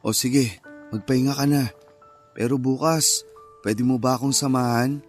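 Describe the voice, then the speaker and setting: A man acting a gentle, caring Filipino husband and father in a radio drama. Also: mid-range voice, steady tone, normal speed, lightly acted. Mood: tender. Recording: music bed under the voice.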